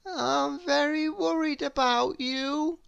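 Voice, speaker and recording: A woman's voice: high-pitched, creaky, no echo, highs cut off, voice pushed up, exaggerated voice. A woman voicing an anxious, fretful little storybook man who worries plaintively about everything. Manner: worried, plaintive, drawn-out delivery.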